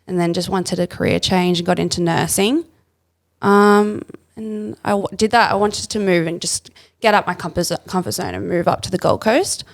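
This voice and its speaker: A young Australian woman chats as a guest on a casual podcast. Her voice sits a little low and has plenty of vocal fry, and she talks in a loose, relaxed way full of fillers. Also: moderate pace. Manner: chatty, relaxed, many "like" fillers.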